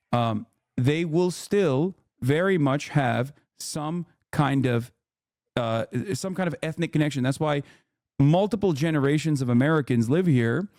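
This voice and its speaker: A man from Turkey, a native Turkish speaker, hosting a live debate and explaining at length in a patient, deliberate way. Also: mid-range voice, a little mellow, dry recording, clean studio sound.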